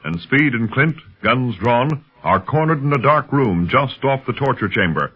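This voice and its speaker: A man's voice: medium pitch, lively intonation, normal speed, slightly rough. A man narrating a 1930s radio adventure serial as its dramatic announcer. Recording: muffled 1930s radio recording with music.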